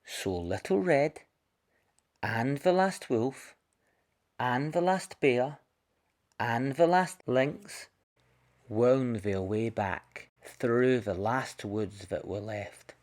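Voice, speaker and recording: A man narrating a children's picture book in an expressive read-aloud style. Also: mid-range voice, very slow. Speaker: storybook reader.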